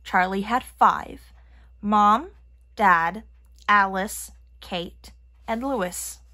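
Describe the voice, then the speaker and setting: A woman reading a children's picture book aloud in an expressive manner. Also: medium pitch, drawn-out words. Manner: expressive, acting out characters' lines. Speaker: storybook reader.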